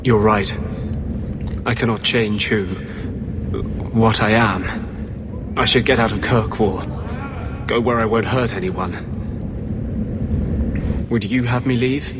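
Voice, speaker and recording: An actor playing a tormented, possessed rebel mage in a video game, earnest and anguished. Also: male voice, fairly low pitch, fairly even intonation, slow pace, long pauses, background noise. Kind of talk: dramatic video game acting. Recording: game audio with music behind.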